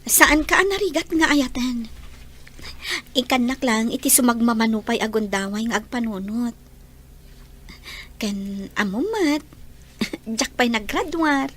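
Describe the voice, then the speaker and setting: An actress playing a gentle, serious young female student being courted in a Philippine radio drama. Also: on the high side, measured pace, long pauses, a little hiss or hum. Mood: gentle, hesitant.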